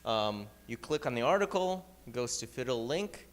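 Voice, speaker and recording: A man with a Ukrainian accent giving a live slide presentation at a meetup, talking quickly with fillers and restarts. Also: mid-range voice, expressive, big-room sound. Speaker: startup founder presenting at a meetup. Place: meetup room.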